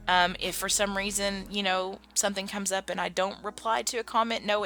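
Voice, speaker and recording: Low, creaky, bright American woman. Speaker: YouTube channel host. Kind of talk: casual chatty Q&A to followers. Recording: music bed behind the voice. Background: background music.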